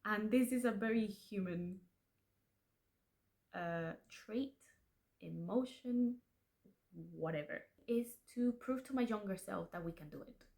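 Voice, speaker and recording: A woman's voice: mid-range voice, slow pace, pauses often, darkish tone. A young woman whose first language is Spanish, talking casually and candidly to camera as an aspiring author, chatty and self-deprecating. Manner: chatty, self-deprecating, restarts and laughs often. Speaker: aspiring author and channel host. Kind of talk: casual confessional vlog.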